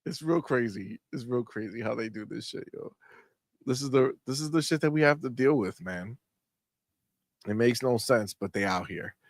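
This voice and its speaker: A man co-hosting a casual gaming live stream, stuffy-nosed, talking in an animated way, swearing freely and laughing often. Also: mid-range voice, normal speed, no room sound, clean studio sound. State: stuffy nose. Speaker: gaming podcast co-host.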